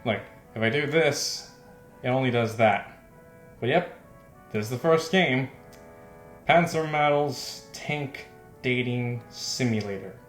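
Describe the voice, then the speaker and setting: A man giving casual let's play commentary as host, self-deprecating and exasperated, often breaking into laughter. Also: medium pitch. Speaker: game streamer, host. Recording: roomy echo, faint music behind.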